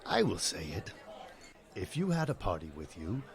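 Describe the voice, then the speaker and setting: A man's voice: low voice, sing-song, moderate pace, crowd noise. A man playing a hesitant but coaxing young bard in a comedy radio play.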